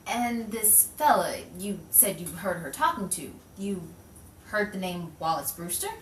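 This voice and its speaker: A woman's voice: medium pitch, animated, unhurried, natural voice. A woman playing a persistent, coaxing newspaper reporter in an improvised noir radio play. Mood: probing. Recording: roomy, distant mic with faint noise.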